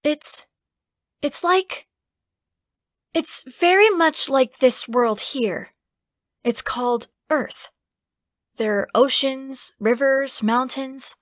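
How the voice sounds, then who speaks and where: A woman voicing an earnest, hesitant young woman in an audiobook, speaking haltingly and tenderly. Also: high-pitched, no room sound, studio quality. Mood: earnest, explaining. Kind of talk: audiobook character acting.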